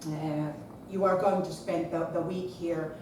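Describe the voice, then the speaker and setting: A middle-aged woman with a Finnish accent addresses a lecture hall with no microphone, projecting in a chatty, animated way. Her voice sits a little low for a woman. The recording is distant, with a strong echo and clear background noise. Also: measured pace. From Finland. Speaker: conference speaker, school principal. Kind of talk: presentation to an audience. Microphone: far camera. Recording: echoey lecture hall from far off, noisy.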